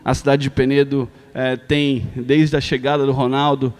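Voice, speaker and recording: Man, são paulo accent, earnest stage speech, hesitant fillers, mid pitch. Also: level tone, normal speed, smooth. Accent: São Paulo Portuguese. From São Paulo, Brazil. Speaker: foundation president giving a speech. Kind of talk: ceremonial speech. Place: theater stage at a live event.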